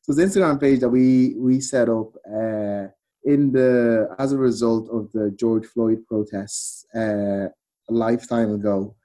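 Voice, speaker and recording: Male guest, Irish accent, relaxed dark timbre, middle pitch, loose conversational storytelling. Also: unhurried. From Ireland. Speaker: podcast guest. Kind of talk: casual chat, storytelling.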